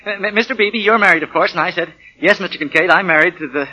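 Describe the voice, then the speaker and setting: Naive young husband and clerk, flustered and self-important, very sharp fast voice, 1940s radio comedy. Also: male voice, sits high, heavily acted, rough recording. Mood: eager, boastful. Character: eager, boastful, naive young married office clerk.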